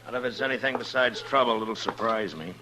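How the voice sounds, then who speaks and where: An actor playing a steady, protective frontier marshal in a 1950s radio western, with a measured, understated delivery. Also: male voice, medium pitch, natural voice. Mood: guarded, courteous. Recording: noisy 1950s radio recording with hum.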